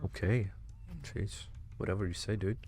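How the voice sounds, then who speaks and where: A man giving casual gameplay commentary in slangy, laid-back interjections with a creaky voice. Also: on the low side, fairly even intonation, normal speed, background noise. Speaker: gamer reacting to cutscenes.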